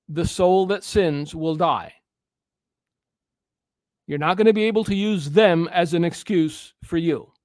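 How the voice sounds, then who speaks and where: Guy with a high voice preaching earnestly on a radio show, stumbles and restarts a lot, dry close mic. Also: dry recording. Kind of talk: preaching commentary.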